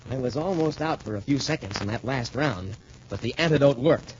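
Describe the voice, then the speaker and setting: An actor playing an earnest, modest young American cadet, polite and eager, in 1940s radio drama style. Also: male voice, medium pitch, brisk, natural voice. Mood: earnest explaining. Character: earnest, modest, clever young male military cadet hero. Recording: muffled, noisy 1940s radio recording.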